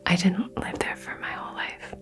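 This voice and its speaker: A woman from the American South whispering slowly and soothingly through a crystal healing roleplay. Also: soft background music. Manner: soft, slow, soothing whisper. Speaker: ASMR creator doing a crystal healing.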